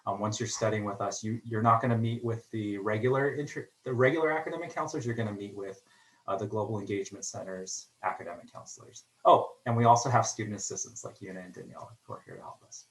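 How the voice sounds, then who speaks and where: A man from a college's international office presents an online information session for new students. He speaks in a friendly way and fills his pauses with "um" and "uh" over a video call. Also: fairly low pitch, a bit bright, low-quality recording. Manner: friendly, filler-heavy delivery with frequent "um" and "uh". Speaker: international student services staff member.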